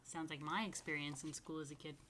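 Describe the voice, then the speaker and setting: A woman's voice: sits low, slight background noise. A woman rabbi reading a picture book aloud to children, expressively stretching key words and adding chatty asides. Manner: expressive, dramatic emphasis and drawn-out words. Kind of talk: animated storytime read-aloud with chatty asides.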